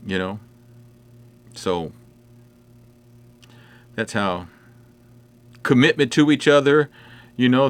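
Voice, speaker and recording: A man's voice: medium pitch, vocal fry, a bit bright. A middle-aged man chatting casually on a livestream, answering viewer comments in a rambling way with many fillers. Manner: conversational, rambling with many "you know" fillers. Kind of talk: casual chat. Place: indoors at home.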